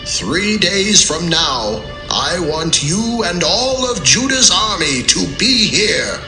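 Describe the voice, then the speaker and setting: A man's voice: high-pitched, sing-song, takes it slowly, bright, forceful tone, heavily acted. An actor playing an authoritative king giving urgent orders in a declamatory way, in a dramatised audio Bible. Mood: commanding. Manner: commanding, declamatory. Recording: echoey room, faint music behind.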